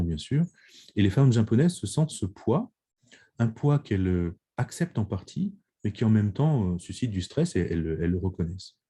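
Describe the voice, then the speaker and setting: A low, dark-toned man lectures over a video call, with a slightly husky voice. He speaks in measured phrases, restarting words and pausing often. The tone is that of an academic presenting survey results. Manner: measured, many restarts and pauses with "euh". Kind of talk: video conference talk presenting survey findings.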